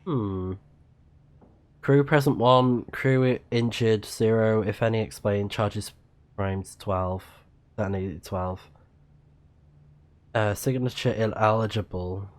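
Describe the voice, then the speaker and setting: Low-voiced male game streamer, muttering. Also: steady tone. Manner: muttering reactions, halting when reading aloud. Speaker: video game livestreamer. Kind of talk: casual let's play commentary, reading on-screen text aloud.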